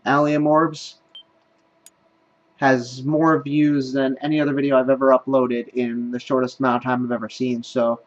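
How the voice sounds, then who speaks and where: A man's voice: medium pitch, little pitch movement, moderate pace, highs cut off. A man hosting a gaming video, thinking aloud in casual commentary as he plays. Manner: thinks aloud while playing. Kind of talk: casual let's play commentary.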